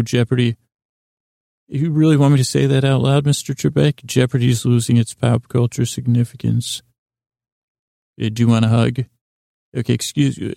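A man hosting a bedtime podcast in a rambling monologue, halting and often interrupting himself as he wanders off on tangents. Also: mid-range voice, gravelly, warm tone, dry recording, clean studio sound. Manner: halting, digressive, mumbly self-interruptions. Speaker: podcast host.

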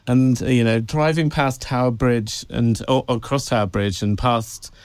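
A man's voice: medium pitch, fairly even intonation, normal speed, no echo. A man from Wales, chatting as a guest in an interview and telling stories with a laugh, recorded in an office. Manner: chatty, laughs often, storytelling. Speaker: guest, PR agency director. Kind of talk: casual interview chat.

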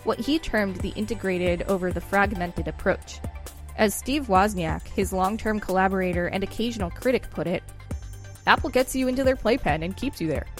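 A woman reads reference articles aloud as a podcast co-host. Her pitch is average for a woman, her remarks are informal, and music plays softly behind her. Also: normal speed. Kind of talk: reading aloud with casual commentary.

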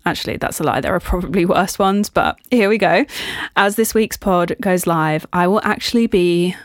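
A woman's voice: lowish voice, normal speed, no echo, studio quality. A woman hosting a podcast in a casual, chatty solo monologue, with a self-deprecating, playfully dramatic way of talking. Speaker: podcast host.

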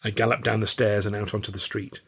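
A British man reading his own novel as an expressive, wry first-person audiobook narration. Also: fairly low pitch, fast talker, husky.

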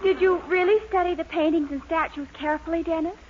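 An actress playing a bright, patient young sweetheart in a 1940s radio sitcom. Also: female voice, extremely high pitch, fairly even intonation, fast. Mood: amused, patient. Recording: muffled, noisy 1940s radio recording.

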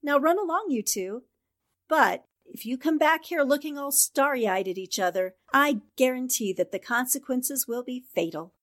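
A self-assured lady reporter as acted by a woman speaks in a very high voice. She is brisk and decisive, in the manner of a 1940s radio play. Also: lively intonation, a bit bright. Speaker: actor. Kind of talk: 1940s radio drama acting.

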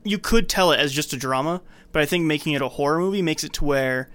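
Male podcast host, higher register, scripted film narration with casual asides. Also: fast talker, a bit bright.

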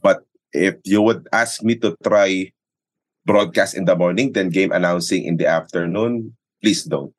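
Casting: male sports announcer, Filipino accent, lowish pitch, casual interview delivery with emphatic explanations. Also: moderate pace. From Philippines. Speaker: sports announcer and radio commentator, interview guest. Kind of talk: casual podcast chat, code-switching.

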